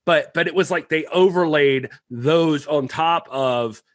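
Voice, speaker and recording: A man's voice: on the high side, a little slow, dry recording, studio quality. A man co-hosting a casual film podcast, rambling with lots of fillers and self-deprecating asides. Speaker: podcast co-host.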